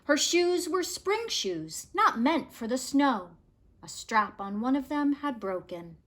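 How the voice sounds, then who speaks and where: Bright-voiced woman reading a storybook, slowly. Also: high voice. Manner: expressive, measured storytelling. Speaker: read-aloud storyteller. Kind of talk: scripted children's picture book narration.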